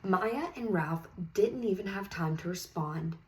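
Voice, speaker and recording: A woman reading a picture book aloud in an encouraging, teacherly manner, pausing to put questions to young listeners. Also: mid-range voice, darkish tone, slight background noise, low-quality recording. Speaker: read-aloud host, teacher-like reader.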